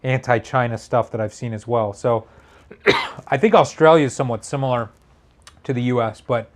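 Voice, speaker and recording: Casual man, slightly raspy. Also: mid-range voice, fairly even intonation, moderate pace. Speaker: show co-host reading viewer messages. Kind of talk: casual chat.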